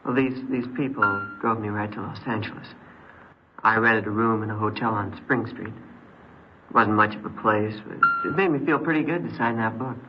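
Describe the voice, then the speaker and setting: An actor playing a troubled, defiant teenage boy in a 1950s radio drama, speaking in a halting, youthful way. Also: on the low side, over music, natural voice. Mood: reflective, boyish. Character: troubled, defiant teenage boy confessing to theft and running away. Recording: noisy, muffled old radio broadcast.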